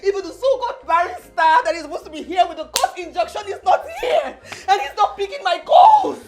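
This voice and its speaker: An actress playing a despairing young princess, wailing and pleading hysterically. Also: female voice, very high voice, very animated, a little fast, music in the background. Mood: frantic. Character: young woman, royal daughter, frantic and despairing. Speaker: distressed princess.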